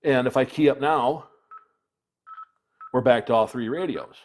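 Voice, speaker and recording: Guy doing a casual product demo, medium pitch, plain spoken, walks you through it. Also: unhurried. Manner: explanatory, plain-spoken, step by step. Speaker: product reviewer, video presenter. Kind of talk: casual hands-on product demonstration.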